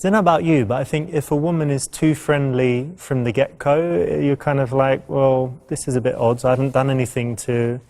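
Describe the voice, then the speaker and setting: A man with a medium-pitched voice coaches on dating. He explains in a conversational way and voices little examples as he goes. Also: steady tone, normal speed, few pauses, a little mellow.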